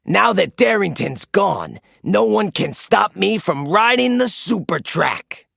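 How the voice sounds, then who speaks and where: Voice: medium pitch, big pitch swings, slow, vocal fry, fairly bright tone, slightly rough, dry recording, clean studio sound, heavily acted. Narrator putting on a sneaky, jealous villain truck. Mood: scheming. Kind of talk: children's storybook character voice.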